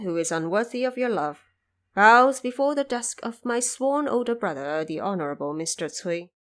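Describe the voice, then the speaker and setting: A woman's voice: medium pitch, natural voice. An earnest court minister, played by a woman speaks the words of his letter in an audio drama. He is formal, reverent and self-effacing, as if pleading with an elder. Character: living male court minister, humble, earnest letter writer.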